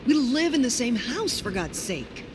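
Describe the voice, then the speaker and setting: A woman's voice: fairly high pitch, very animated, measured pace. A woman voicing a weary, fed-up wife in a dramatic horror game, drawing out her words. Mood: exasperated. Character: weary, exasperated wife leaving spoken notes for her husband. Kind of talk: dramatic video game voice acting. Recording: noisy recording with background ambience.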